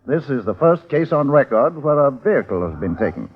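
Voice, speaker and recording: An actor playing a brisk, clipped male police constable at a jungle outpost in a 1950s radio drama. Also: mid-range voice, very animated, lightly acted. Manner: brisk, clipped, slightly hesitant. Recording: lo-fi old radio broadcast.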